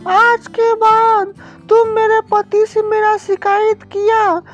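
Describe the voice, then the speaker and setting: A quarrelsome female crow from a children's cartoon, pitched extremely high and childlike. She scolds in a slow, threatening way. The sound is dull and lo-fi, with faint music behind. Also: completely flat delivery. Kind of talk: children's moral-story cartoon acting.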